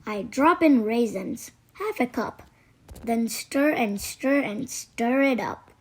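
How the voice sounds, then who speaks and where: Slow, high-voiced woman reading to children. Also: lively intonation, a little mellow, poor audio. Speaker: children's book narrator. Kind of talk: read-aloud storytelling for kids.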